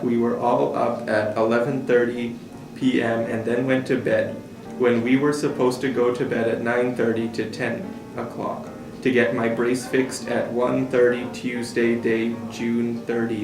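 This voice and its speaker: A man's voice: mid-range voice, little pitch movement, measured pace, vocal fry. A man reading a child's camp letter aloud, stumbling a little over the written words. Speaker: staff member reading aloud. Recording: echoey room, distant mic, music behind. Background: guitar music.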